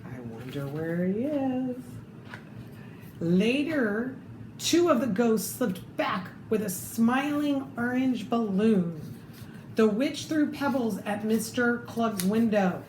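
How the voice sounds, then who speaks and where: A woman reading a children's picture book aloud expressively, pausing to add her own comments. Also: on the high side, lively intonation, darkish tone. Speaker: storybook reader. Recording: echoey room with faint background noise.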